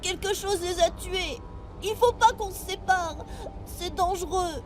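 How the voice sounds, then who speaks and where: A woman playing an excitable, wide-eyed seven-year-old boy in a French sci-fi audio drama. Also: very high voice, unhurried, a little hiss or hum. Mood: frightened, pleading. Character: eager seven-year-old boy intern aboard a space crew.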